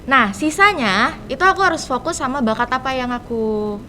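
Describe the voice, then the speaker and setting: A woman's voice: moderate pace, sharp tone. A teenage young woman, giving casual answers as a student guest on a podcast. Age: teens. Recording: rumbly background noise.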